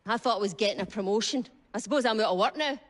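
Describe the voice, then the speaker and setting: A woman's voice: medium pitch, very fast, close to an everyday voice. An actress playing a spirited, chatty working-class woman who drives a train.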